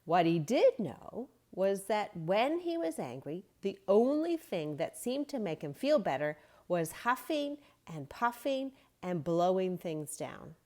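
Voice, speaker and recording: Gentle, slow woman reading a kids' story. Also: mid-range voice. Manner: gentle, expressive, unhurried. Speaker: storybook reader. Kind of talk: children's story read-aloud.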